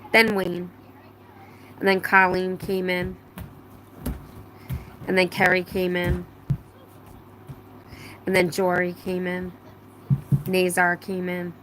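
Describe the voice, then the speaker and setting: A woman's voice: lowish voice, bright, forceful tone, a little hiss or hum, rough recording. A middle-aged woman casually chatting on a live stream, reading out viewer comments with lots of fillers, her voice a little strained after laryngitis. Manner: chatty, rambling, many fillers and restarts. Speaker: livestream host.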